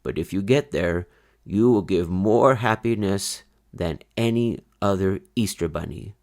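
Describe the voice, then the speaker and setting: A man voicing an old, wise, kind grandfather rabbit in a storybook, speaking slowly and solemnly. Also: deep voice, darkish tone. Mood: solemn, kindly. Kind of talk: children's storybook read-aloud.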